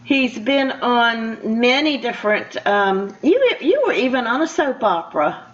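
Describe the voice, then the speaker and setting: A woman's voice: a little high, sing-song, measured pace, highs cut off. An older woman hosting a casual radio interview, chatty and rambling as she talks to her guest. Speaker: radio show host.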